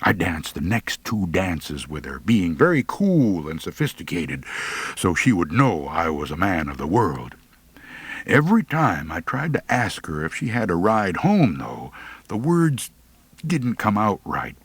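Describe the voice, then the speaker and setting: A man reads a comic first-person story in a low, close and dry-sounding voice. His intonation swings widely. The delivery is theatrical and wry, with mock pomposity as an audiobook narrator. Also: sing-song, a little slow, breathy edge, no echo.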